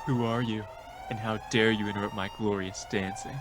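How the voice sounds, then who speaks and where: A man's voice: lowish voice, flat delivery, moderate pace, noisy background, lightly acted. A man playing a vain, melodramatic lover and dancer with a grandiose, theatrical delivery in an audio drama. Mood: indignant.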